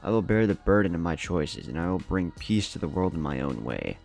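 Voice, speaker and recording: A man's voice: low-pitched, sing-song, fairly quick, gravelly, a little mellow, soft background music, heavily acted. A man voicing an earnest, resolute young ninja leader with emphatic speechmaking in a story narration.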